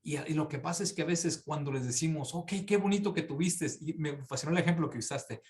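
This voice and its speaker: A man's voice: on the high side, fairly quick, a little mellow. A man moderating a panel discussion, asking questions hesitantly and often repeating words while he thinks.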